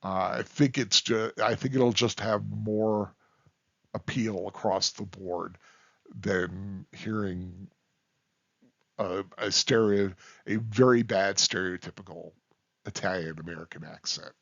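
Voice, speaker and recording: Male reviewer, slow and halting delivery, off-the-cuff ramble with emphatic stresses, slightly dull recording. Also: lowish voice, fairly even intonation, creaky, a bit bright, no echo. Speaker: film reviewer. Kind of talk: unscripted rambling review to the listener.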